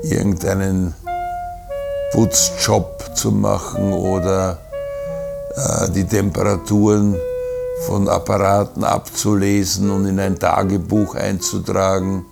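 Radio play: tired seaman, flat, dragging, hesitant delivery under loud music. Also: low voice, creaky, full character voice. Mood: weary, bored. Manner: slow, monotone, hesitant. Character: weary seaman describing monotonous chores.

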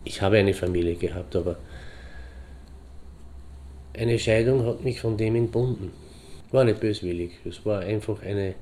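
An actor performs a middle-aged man, a wistful amateur horseman in an audio drama. His voice is low and soft-edged, and he speaks very slowly in halting, deliberate phrases. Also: lightly acted. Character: pensive divorced hobby horseman fondly remembering a friend. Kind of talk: reflective anecdotal monologue in an audio drama.

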